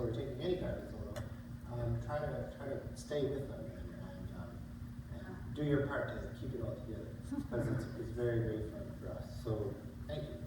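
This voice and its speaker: A laid-back man talks to a lecture-hall crowd about drumming, stumbling over his words and restarting often. He sounds far from the mic, his voice bouncing around a big echoey hall. A steady hiss of noise sits behind him. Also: medium pitch. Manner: easygoing, halting, restarts words, fillers. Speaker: drummer answering questions after a performance. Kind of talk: informal talk to an audience. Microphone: distant room mic.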